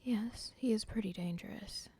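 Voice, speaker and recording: A woman's voice: medium pitch, normal speed, mellow, slightly rough. A woman voicing a calculating female cartel boss in an ASMR roleplay, whispering breathily and plotting aloud. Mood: scheming whisper.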